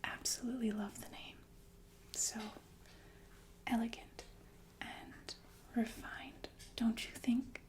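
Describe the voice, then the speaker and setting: A woman playing a sinister, falsely soothing killer nurse in an ASMR roleplay, speaking in a genteel, deliberate breathy whisper with murmured asides. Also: fairly high pitch, flat delivery. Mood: refined, musing. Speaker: ASMR performer.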